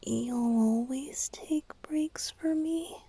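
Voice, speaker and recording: A lovesick, boyish bard spirit acted by a woman murmurs in a breathy, slightly high voice. He lingers very slowly over each phrase, tender and playful. The delivery is intimate and whispery, as romantic ASMR roleplay. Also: warm tone, no echo, heavily acted. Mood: tender, intense. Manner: tender, intimate, slow and hesitant.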